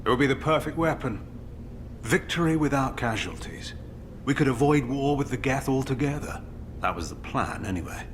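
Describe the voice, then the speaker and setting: An actor playing a defensive scientist in a video game, earnest and grave, explaining himself with emphasis. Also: male voice, mid-range voice, expressive, moderate pace, a little hiss or hum, lightly acted. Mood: earnest, justifying. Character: defensive male scientist justifying his disastrous experiment. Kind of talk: dramatic video game dialogue acting.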